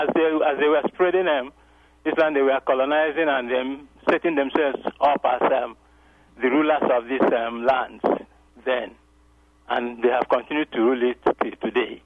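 A man's voice: mid-range voice, fairly even intonation, moderate pace, no echo. Hesitant man on a phone line. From Nigeria. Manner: hesitant, many fillers, grows insistent. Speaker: caller. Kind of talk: radio call-in.

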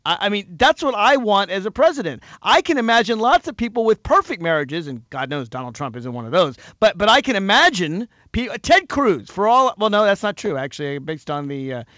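A man hosting a call-in radio show, loud and emphatic, with a slightly higher voice than most men. He talks into a dry, close studio microphone, repeating phrases to drive his points home. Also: fairly quick, few pauses, no room sound. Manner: animated, emphatic, repeats phrases, laughs often. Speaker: radio talk show host. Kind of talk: political call-in talk radio.

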